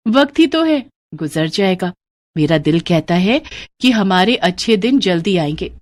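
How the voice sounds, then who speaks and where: A woman's voice: mid-range voice, expressive, a little slow, no echo, natural voice. A woman voicing a cheerful hardworking maid. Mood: hopeful. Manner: humble, hopeful, respectful.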